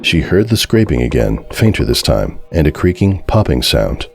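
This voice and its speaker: Very deep-voiced male story narrator. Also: big pitch swings, normal speed, creaky. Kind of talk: dramatic scripted story narration. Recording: music behind the voice.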